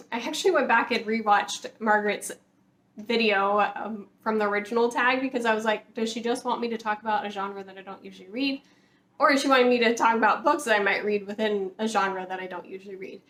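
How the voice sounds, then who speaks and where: A woman with a fairly high voice chats casually to her viewers in an enthusiastic, gushing manner, peppering her sentences with "like." She sounds like an informal book vlogger, recorded with noticeable background noise. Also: a bit bright. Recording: clear background noise, room ambience.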